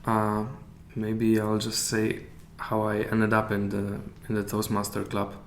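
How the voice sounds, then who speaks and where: A man with a Polish accent talks through his answers as a guest, pausing to hunt for the right English word. His voice sits low and stays flat and even. The room is echoey, with a faint hiss underneath. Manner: hesitant, often searching for English words. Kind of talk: casual interview chat.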